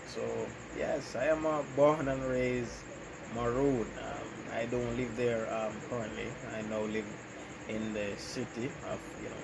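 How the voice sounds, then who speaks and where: Jamaican man, halting and unhurried. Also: mid-range voice. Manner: unhurried, pauses often with "um" and "you know". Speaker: electrician hosting his own channel. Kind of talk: casual interview answers about his life. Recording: noisy background.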